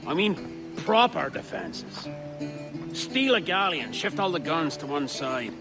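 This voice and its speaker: An actor playing a bold, proud pirate leader with theatrical, rolling oratory in a video game. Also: male voice, on the high side, sing-song, slow pace, music in the background, heavily acted. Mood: scheming, dismissive. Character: bold, proud pirate leader, rousing and gruff-humoured man. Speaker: pirate captain. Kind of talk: dramatic video game acting.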